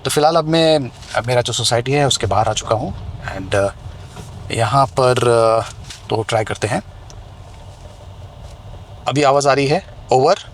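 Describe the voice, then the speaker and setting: An Indian man presents a hands-on tech review in a conversational, explanatory way. His voice has a middling pitch, and some outdoor ambient noise sits behind it. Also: measured pace, long pauses. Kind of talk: hands-on product review, mixing Hindi and English. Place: outdoors near residential buildings.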